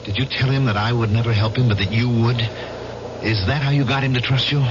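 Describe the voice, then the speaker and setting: An American actor playing an insistent veteran confronting old comrades in an old-time radio drama. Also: male voice, medium pitch, fairly even intonation, fairly quick, close to an everyday voice. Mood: accusing. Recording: dull, noisy old radio recording.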